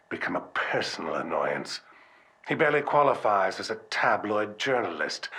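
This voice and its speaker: Arrogant scheming doctor, lowish forceful voice, very animated intonation, clinical disdain, game monologue. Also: male voice, vocal fry, full character voice. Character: arrogant, scheming male hospital doctor, coldly irritated. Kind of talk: recorded monologue in game acting.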